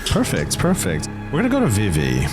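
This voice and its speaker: A man with a deep, animated voice runs a tabletop roleplaying game as game master. He narrates scenes with deliberate second-person description. Music plays clearly underneath.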